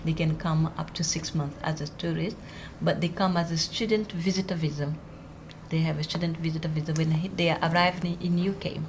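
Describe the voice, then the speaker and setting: Matter-of-fact low woman, noisy room. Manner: matter-of-fact, non-native grammar. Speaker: language school staff. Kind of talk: documentary interview. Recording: noisy background.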